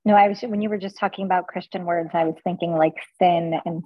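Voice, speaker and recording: Husky woman on dull, dry audio. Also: fairly low pitch, steady tone, fast, dry recording. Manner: informal, checking with others as she talks. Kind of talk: casual discussion.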